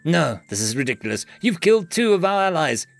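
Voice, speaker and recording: A woman's voice: deep voice, sing-song, brisk, vocal fry, dry recording, soft background music, pitched above the performer's own voice, heavily acted. A man voicing a tough, wary female alien warlord who talks sharply and suspiciously. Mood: hostile.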